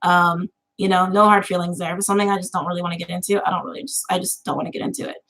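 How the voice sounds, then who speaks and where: A woman's voice: fairly low pitch, little pitch movement, racing, a bit bright. A woman in her twenties chatting casually with viewers on a livestream, full of fillers and easy laughter. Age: 20s. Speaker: tarot channel host.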